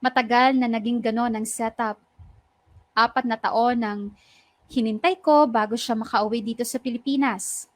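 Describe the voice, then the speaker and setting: A woman playing a heartbroken young woman, narrating her lost love in measured, sentimental radio drama style. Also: a little high, steady tone, lightly acted. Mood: wistful. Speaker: narrator telling her own love story.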